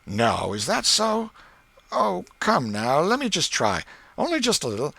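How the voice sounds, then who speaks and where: Casting: male reader playing keen, gullible country lad, raised and lively pitch, wheedling, colloquial, for a children's classic audiobook. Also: a little slow, creaky, no echo, heavily acted. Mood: eager pleading. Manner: pleading, colloquial rural speech. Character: eager, wheedling village boy, easily fooled.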